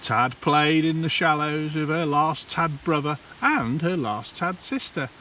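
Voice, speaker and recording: Slow, very animated man reading a children's story. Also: on the high side. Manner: theatrical, deliberate, dramatic pauses. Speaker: primary school teacher. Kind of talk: expressive children's storybook read-aloud. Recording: distant mic, hissy background noise.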